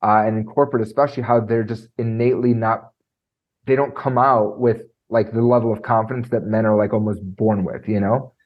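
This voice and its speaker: A man coaching on presentation skills, talking in an animated way and filling his speech with "like" and "right?"; he has a trained high singing voice. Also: brisk, highs cut off. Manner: animated, lots of fillers like "like" and "right?". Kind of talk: conversational podcast interview.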